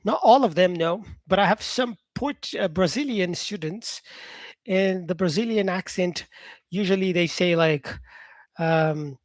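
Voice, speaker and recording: A man who teaches English, talking casually to his viewers about their comments, upbeat and encouraging, often restarting himself and filling pauses. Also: high-pitched, no echo. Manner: rambling, restarts himself, many fillers.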